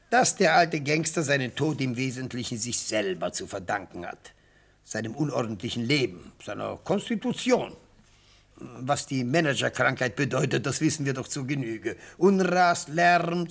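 An actor playing an elderly, theatrical defence lawyer in a radio play, speaking in rhetorical, declamatory phrases with savoured pauses and a husky voice. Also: male voice, mid-range voice, lively intonation. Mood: insistent, probing. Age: older. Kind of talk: radio play courtroom oratory. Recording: vintage radio play recording.

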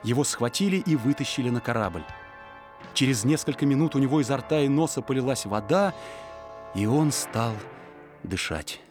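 Expressive male storyteller, very lively pitch. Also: moderate pace, music in the background. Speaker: audiobook narrator. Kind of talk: dramatic scripted story narration.

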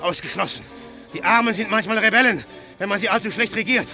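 Syme, intense, bright-edged hunted agent. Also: male voice, high-pitched, fast talker, soft background music, heavily acted. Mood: argumentative. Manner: dramatic, rhetorical, emphatic delivery. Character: Syme, hunted police agent, defiant, eloquent, excitable man. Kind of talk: German radio play acting with narration.

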